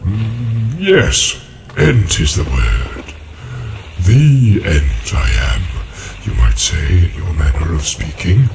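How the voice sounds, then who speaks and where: An ancient, ponderous tree giant for a fantasy audiobook. The voice is low and very drawn-out, yet it swells and dips widely as it muses warily. A clear bed of ambient sound lies behind it. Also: male voice, wildly swooping pitch, a little mellow, slight background noise, heavily acted. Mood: musing, cautious. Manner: slow, deliberate, drawn-out hums and musing repetitions. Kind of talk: audiobook character acting.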